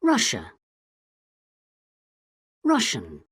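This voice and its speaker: Husky woman slowly reading vocabulary. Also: on the low side, wildly swooping pitch, a bit bright, dry recording, clean studio sound. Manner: careful, drawn-out pronunciation. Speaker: vocabulary reader for a language lesson. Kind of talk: reading word lists slowly.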